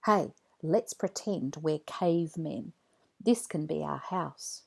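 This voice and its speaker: A woman voicing an adventurous young boy in a children's storybook, eager and expressive, brave but easily worried. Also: low voice, takes it slowly, gravelly, husky, full character voice. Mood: excited, playful. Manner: excited, pleading, calling out. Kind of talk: children's read-along storybook character voice.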